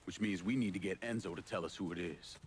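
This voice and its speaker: An actor playing a cool, blunt, threatening war veteran out for revenge in a video game, speaking with an American accent and tight control. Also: male voice, deep voice, fast, breathy edge. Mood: calculating. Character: cool, menacing male Vietnam veteran turned avenger. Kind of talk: dramatic video game cutscene acting.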